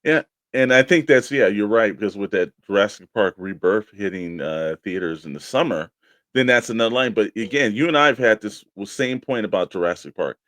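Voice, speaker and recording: A man with a fairly low voice, recorded dry and close, talks casually as the co-host of a collectors' show. His delivery is conversational and informal. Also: lively intonation, fairly quick, a bit bright, no echo. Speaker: toy talk show co-host. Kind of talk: casual chat about toy collecting.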